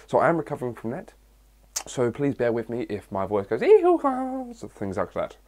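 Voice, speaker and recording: A young man from England with a cold and a hoarse voice, chatting his way through a casual Q&A vlog. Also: medium pitch, wildly swooping pitch, a little fast, darkish tone. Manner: chatty, rambling, reads questions aloud. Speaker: fitness vlogger.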